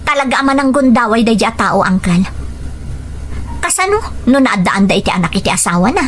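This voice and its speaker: A woman's voice: a little high, lively intonation, fairly quick, a little hiss or hum, natural voice. A woman with an Ilocano accent acting a hurt, defiant mother guarding her child in an audio drama. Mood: resolute. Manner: emotional, indignant. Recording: music bed under the voice.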